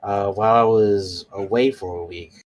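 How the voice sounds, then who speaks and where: A man's voice: lowish voice, steady tone, moderate pace, a little mellow. A man playing a tabletop roleplay, improvising casually in a hesitant, meandering way. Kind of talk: casual in-character improvised chat.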